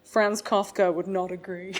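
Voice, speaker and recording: A woman's voice: medium pitch, little pitch movement, a little slow, a little hiss or hum. A woman co-hosting a podcast in a casual chat, laughing often as she explains the research she has read. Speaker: podcast co-host.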